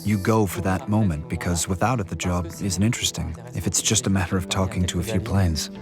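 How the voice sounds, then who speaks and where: A man's voice: sits low, fairly even intonation, brisk. A man giving an English documentary voice-over of an interview, explaining in spoken first person. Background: music.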